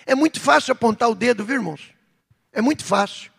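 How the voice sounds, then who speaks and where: Man, Brazilian Portuguese accent, pastor, sermon, husky high voice, long reverb. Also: lively intonation, fast talker. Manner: fervent preaching with rising outbursts, then softer reflective stretches. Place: church hall.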